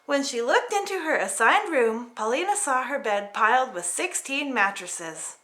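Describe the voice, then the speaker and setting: A woman narrating a children's story in an expressive read-aloud style. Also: high voice, moderate pace. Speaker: storybook reader.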